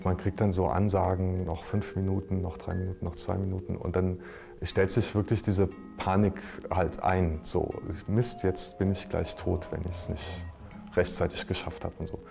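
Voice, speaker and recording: Ein Mann erzählt locker und umgangssprachlich in einem Interview. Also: male voice, sits low, level tone, moderate pace, creaky, music in the background. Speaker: interviewed gamer. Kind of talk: casual interview talk.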